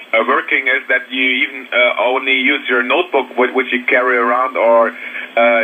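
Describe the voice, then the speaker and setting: A man with a German accent talking haltingly in casual English as an amateur radio operator over a radio transmission. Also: medium pitch, husky. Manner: halting, searching for English words. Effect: shortwave radio transmission. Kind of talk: casual radio contact chat. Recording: narrow, noisy ham radio reception. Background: radio static.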